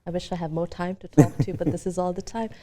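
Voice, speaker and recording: A woman hosting a television interview, wrapping up with a smooth, scripted sign-off in a studio. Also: fairly low pitch, fairly even intonation, fast, mellow. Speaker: television talk show host. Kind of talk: broadcast interview wrap-up.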